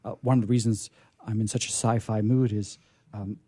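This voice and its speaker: Rambling middle-aged man, husky voice. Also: lowish voice, flat delivery, brisk, dark tone, dry recording. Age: middle-aged or older. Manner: enthusiastic, rambling, self-deprecating. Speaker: radio show host. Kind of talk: casual unscripted event chat.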